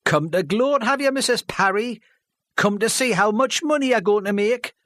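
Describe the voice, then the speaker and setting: A big brash mechanic jeers and sneers at the room, as a narrator puts him on. His voice rises loud and raised. The recording is close and dry. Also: on the high side, big pitch swings, normal speed, no echo, voice pushed up. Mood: sneering.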